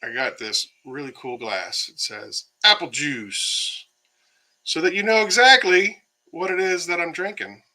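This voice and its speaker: Man losing his voice, bright tone. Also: fairly high pitch, unhurried. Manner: rambling, many fillers, greets viewers by name. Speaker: livestream host. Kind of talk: casual livestream chat. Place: home, by himself.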